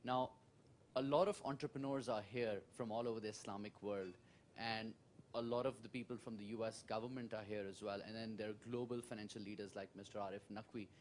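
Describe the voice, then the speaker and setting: A man's voice: lowish voice, steady tone, brisk, slight background noise. A man from Pakistan, asking a panel a formal, polite question from the audience. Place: conference hall.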